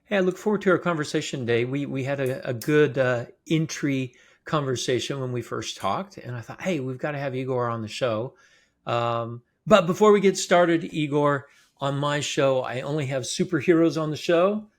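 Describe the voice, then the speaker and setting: A man's voice: mid-range voice, normal speed. A man hosting a podcast, opening with a scripted intro and then chatting in an upbeat, conversational way. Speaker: podcast host. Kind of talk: scripted show intro, then casual interview.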